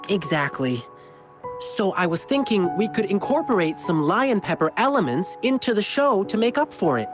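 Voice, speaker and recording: Eager, lively young lion dancer. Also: male voice, high voice, normal speed. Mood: eager, polite. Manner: boyish, earnest, expressive. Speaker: game character voice actor. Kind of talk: animated game dialogue. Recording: game music behind the voice.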